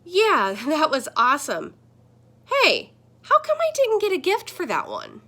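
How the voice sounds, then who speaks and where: A woman's voice: high voice, wildly swooping pitch, measured pace, sharp tone, pitched above the performer's own voice, heavily acted. A woman voicing a surprised, defensive child who questions everything, in a children's picture book. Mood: curious.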